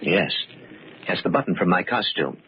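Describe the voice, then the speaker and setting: Muffled old-radio guarded murder suspect. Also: male voice, sits low, animated, moderate pace. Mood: defensive. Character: smooth evasive male host, a murder suspect. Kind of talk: 1940s radio mystery acting. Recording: dull, noisy old radio recording.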